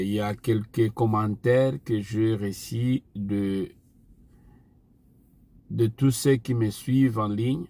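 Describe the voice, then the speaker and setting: Slow, gravelly preacher. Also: male voice, lowish voice, darkish tone. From Angola. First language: Portuguese. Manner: slow, deliberate preaching with repeated refrains. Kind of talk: online sermon.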